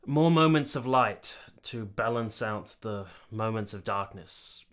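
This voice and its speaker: Actor as a reserved, polite period gentleman. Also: lowish voice, lively intonation, slow pace. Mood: tactful, candid. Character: reserved, dutiful man in 1870s Italy, guardedly kind. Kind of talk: radio drama acting.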